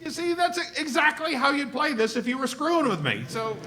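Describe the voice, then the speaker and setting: A man's voice: extremely high pitch, very animated, fairly quick, pushed and bright, exaggerated voice. A middle-aged man acting a wisecracking, incredulous cartoon everyman on a stage microphone. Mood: sheepish. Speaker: actor at a table read. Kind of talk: live comedy script read. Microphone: stage microphone on stand. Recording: stage microphone with clear room noise.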